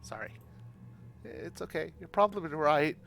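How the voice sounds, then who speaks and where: A man's voice: on the high side, expressive, a little slow, gravelly, a bit bright, a little hiss or hum, heavily acted. A man voicing an awkward, sardonic young woman who narrates her visual novel adventure in a self-deprecating way. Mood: meek, reluctant.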